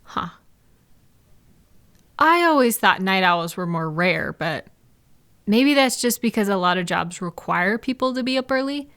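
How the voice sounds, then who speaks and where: Woman acting as a sweet caring girlfriend, chatty n playful, reassuring, intimate roleplay audio, medium pitch. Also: animated, measured pace, long pauses, clear, resonant tone. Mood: musing, curious. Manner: soft-hearted, reassuring, playful, affectionate. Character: caring, gentle, chatty girlfriend comforting a night-owl partner. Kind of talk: intimate roleplay audio, one-sided conversation.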